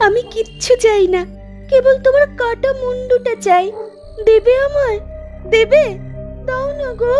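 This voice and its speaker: Cartoon ghost woman: piercingly high, very slow, shrill, menacing and coaxing, music behind. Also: a little mellow. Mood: gleeful, menacing. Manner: slow, drawn-out coaxing with cackling. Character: eerie, menacing female ghost luring a victim. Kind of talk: horror cartoon acting.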